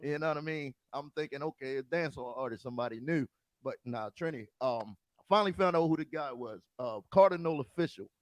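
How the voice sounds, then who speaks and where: Chatty American DJ host, dry sound. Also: male voice, mid-range voice, quick pace, no room sound. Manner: storytelling, stumbling restarts, laughs often. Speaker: DJ and podcast host. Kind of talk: casual interview chat.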